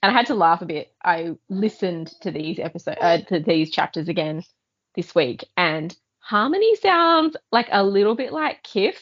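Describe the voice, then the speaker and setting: A woman's voice: fairly low pitch, animated, normal speed, a bit bright, dry recording. A woman co-hosting a podcast, chatting casually and enthusiastically about books. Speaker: podcast co-host. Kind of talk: casual book discussion. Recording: dull, band-limited sound, dry.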